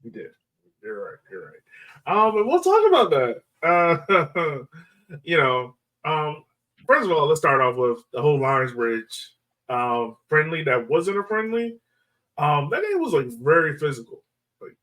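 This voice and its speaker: A man hosting a casual soccer podcast, talking in a halting, hesitant way. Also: on the high side, very animated, fairly bright tone, far from the mic, poor audio. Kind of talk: casual sports chat.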